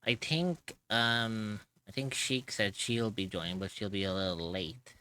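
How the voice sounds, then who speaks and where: A man's voice: lowish voice, a little slow, sharp tone, a little hiss or hum. A man hosting a live stream, chatting casually and rambling, laughing often between thoughts. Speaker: streamer hosting a voice-acting session.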